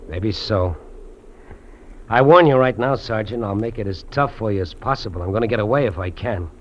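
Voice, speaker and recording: An actor playing a lonely, lovestruck fugitive trapper, earnest and confiding, in 1950s radio drama style. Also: male voice, lowish voice, moderate pace, natural voice. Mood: quietly defiant. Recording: dull, noisy old radio recording.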